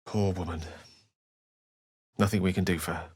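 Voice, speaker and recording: A learned wizard from a fantasy video game. He sits on the low side in pitch and talks with literary polish. His temper is wry, romantic and dramatic, and every phrase is carefully articulated for the stage. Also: fairly even intonation, normal speed, darkish tone, slightly rough. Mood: tender, regretful. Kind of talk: video game character voice acting.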